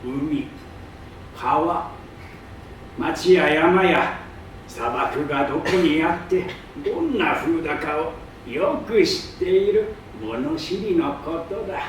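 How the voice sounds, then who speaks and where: A man playing a pompous, eager old geographer in a theatrical staged reading, with drawn-out, emphatic pronouncements. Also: on the high side. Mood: self-important. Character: pompous, eager old male geographer, self-styled scholar. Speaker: stage reader. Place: public hall stage. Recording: distant mic in echoey hall, faint noise.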